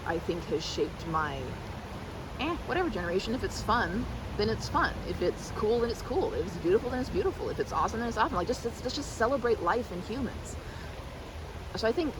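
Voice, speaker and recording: A woman in her thirties with an American accent, rambling in a casual, animated and playfully theatrical way as a chatty best friend in an ASMR roleplay. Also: fairly low pitch, background noise. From United States. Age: 30s. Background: river park ambience with water and vehicle sounds.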